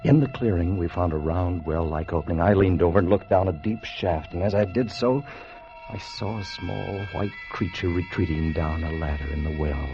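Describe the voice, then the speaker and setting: An actor playing an earnest, excitable time traveler who tells his adventure intensely in a 1950s radio drama. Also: low voice. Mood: tense narration. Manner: intense, deliberate storytelling. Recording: dull vintage radio recording with music.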